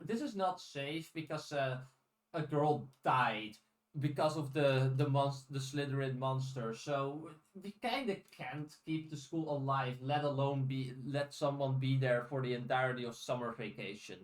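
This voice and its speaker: A man's voice: medium pitch, a little slow. A man co-hosting a podcast in casual, rambling discussion, often paraphrasing in dialogue. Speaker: podcast co-host. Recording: roomy, echoey sound.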